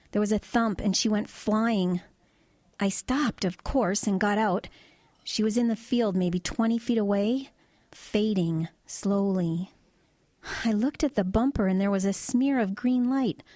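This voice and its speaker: A woman acting as a female truck driver in an audio drama, with a soft, mellow voice at a middling pitch. She tells her eerie story matter-of-factly and with understatement, pausing to search for the right words. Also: dry recording, lightly acted. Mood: quiet, wistful.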